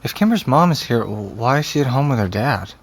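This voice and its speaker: A man's voice: mid-range voice, lively intonation, fairly quick, breathy edge. A man acting an anxious, stubborn seventeen-year-old boy in an audio drama, with urgent questions and swearing. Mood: alarmed, urgent.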